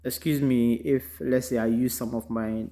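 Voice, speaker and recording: A man from Ghana with a Ghanaian accent, giving an unhurried, reflective motivational talk full of ums, recorded in a car. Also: medium pitch, steady tone, mellow. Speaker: vlogger. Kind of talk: casual motivational monologue.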